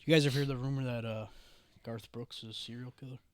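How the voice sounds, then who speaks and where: Loud, joking young man on a podcast. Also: mid-range voice, steady tone, quick pace, smooth, no room sound. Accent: Western New York. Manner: loud, profane, jokey, sings snatches of songs. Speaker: podcast co-host. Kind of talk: casual banter.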